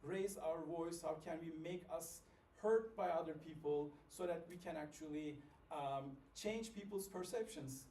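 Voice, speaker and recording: A man's voice: fairly high pitch, unhurried, few pauses, dark tone. A man from Turkey giving a seminar talk in an informal, chatty way with plenty of fillers, recorded in a room away from the mic. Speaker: seminar presenter. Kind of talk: conference presentation. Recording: echoey room from a distance.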